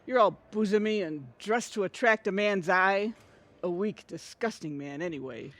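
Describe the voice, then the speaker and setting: A woman's voice: medium pitch, normal speed, a bit bright, no echo, lightly acted. A woman acting in an audio comedy as a prim, self-righteous housewife, easily outraged, protesting in a huffy, melodramatic way. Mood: huffy, indignant.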